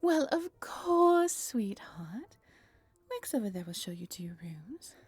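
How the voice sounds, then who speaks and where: A woman's voice: fairly high pitch, very animated, slow pace, darkish tone, slightly rough, no room sound, soft background music, full character voice. A woman playing a genteel, possessive vampire mistress in an audio drama, with a saccharine, theatrical and self-pitying manner. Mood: sweetly self-satisfied. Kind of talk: scripted roleplay audio drama.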